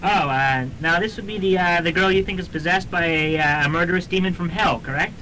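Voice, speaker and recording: A man playing a nervous professor in a radio comedy, halting and stammering as he talks. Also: high voice, pushed and bright, slight background noise, poor audio. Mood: flustered. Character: nervous, stammering male professor and hobby demonologist. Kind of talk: student radio comedy drama acting.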